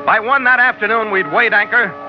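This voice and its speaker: An actor playing a tough, weary adventurer skipper in a hard-boiled old-time radio drama, with clipped delivery. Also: male voice, sits high, fairly quick, music in the background, natural voice. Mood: hard-boiled narration. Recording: dull, lo-fi old radio recording.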